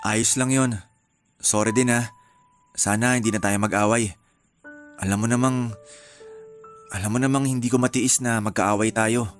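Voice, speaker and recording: A man acts out an affectionate young fiancé in a Filipino radio drama. He has a mid-pitched voice and a soft, teasing, sweet-talking manner, with faint music underneath. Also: steady tone, normal speed, long pauses, natural voice. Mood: conciliatory.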